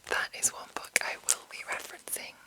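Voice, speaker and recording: A woman whispering in a soft, breathy, unvoiced voice as an ASMR host, rambling gently and reading passages aloud. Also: brisk, dry recording. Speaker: ASMR host introducing a roleplaying game.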